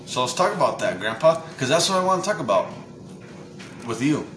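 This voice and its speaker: A man's voice: mid-range voice, big pitch swings, a little fast. A young man hosting a podcast, interviewing his grandfather with hesitant, filler-heavy questions. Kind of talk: casual family interview. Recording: echoey room, faint noise.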